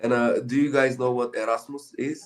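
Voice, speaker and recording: A man's voice: medium pitch, fairly even intonation, quick pace. A young man from Romania with a Romanian accent, telling a rambling story in casual chat, full of fillers. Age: young adult.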